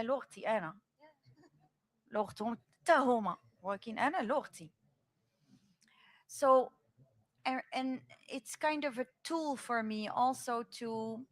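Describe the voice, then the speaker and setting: A Dutch-born woman poet whose first languages are Dutch and Moroccan Arabic, speaking on a panel. She talks thoughtfully and moves between English and Moroccan Arabic as she tells her stories. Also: medium pitch. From Netherlands. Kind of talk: panel discussion.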